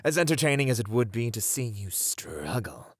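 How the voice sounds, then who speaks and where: A man playing a charming, smug male incubus demon in a flirty ASMR roleplay, with a teasing, drawn-out delivery. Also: medium pitch, big pitch swings, husky, no echo, clean studio sound. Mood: amused, smug.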